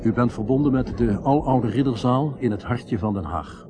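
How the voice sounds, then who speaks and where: Man acting radio event commentator, formal, descriptive, medium pitch, radio drama. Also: normal speed, background noise, close to an everyday voice. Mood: solemn, polished. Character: male radio reporter covering a royal ceremony, formal, later shaken.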